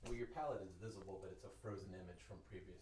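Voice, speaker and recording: A man running the camera for a live stream, making quick, dry remarks from off-mic. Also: low-pitched, fairly even intonation, darkish tone, breathy edge. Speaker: stream camera and tech helper.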